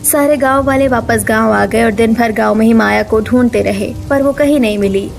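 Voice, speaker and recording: High-voiced woman storyteller. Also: normal speed, gravelly, a little hiss or hum. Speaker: story narrator. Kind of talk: scripted storytelling narration.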